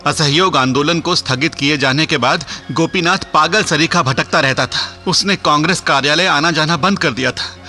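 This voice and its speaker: A respectful, bright-voiced Indian informant. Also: male voice, on the high side, moderate pace, hardly any pauses, faint music behind. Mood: grave, informative. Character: formal, respectful Indian man reporting to a leader. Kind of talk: radio play acting.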